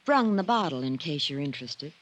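An actress playing a brittle, tough magazine writer in an old-time radio drama, sardonic, slangy and sly. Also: female voice, sits low, very animated, fairly quick, a little hiss or hum, low-quality recording.

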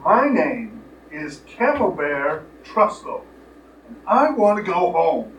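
A man's voice: a little high, big pitch swings, slow, lo-fi sound. A man playing a befuddled, plaintive small-county justice of the peace in a comic radio play, whining as he talks. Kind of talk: live radio play acting. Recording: echoey, distant stage recording with noise.

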